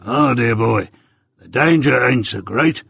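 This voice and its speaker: A man voicing a gravelly old rough-spoken ex-convict. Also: mid-range voice, animated, a little slow, dry recording, studio quality, heavily acted. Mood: confiding. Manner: broad rustic dialect, blustering then hushed. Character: rough old male ex-convict, gruff, doting. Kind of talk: audiobook character voice.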